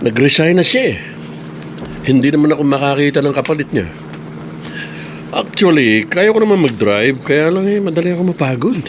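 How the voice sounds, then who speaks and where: An actor playing a genial, easily tired boss in a radio drama. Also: male voice, fairly high pitch, expressive, normal speed, long pauses, close to an everyday voice. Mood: confiding. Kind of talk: Tagalog radio drama acting. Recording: clear car engine noise behind.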